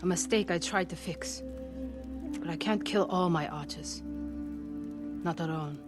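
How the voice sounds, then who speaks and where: A woman's voice: fairly low pitch, measured pace, over loud music, natural voice. An actress playing a sly, hardened young woman archer in a feudal Japan video game, with a wry, teasing manner. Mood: cool, scheming. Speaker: game character actor. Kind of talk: dramatic video game acting.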